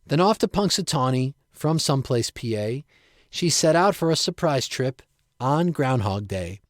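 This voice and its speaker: A man's voice: medium pitch, expressive, moderate pace, no room sound, studio quality. A man reading his own rhyming story aloud in a storybook narration style. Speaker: writer reading own piece.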